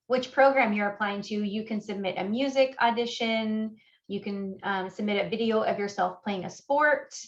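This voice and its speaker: A woman's voice: mid-range voice, a bit bright. A woman in her forties from Honduras, giving a friendly, conversational webinar presentation with frequent fillers as an admissions guest speaker. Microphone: video call. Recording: muffled video call audio.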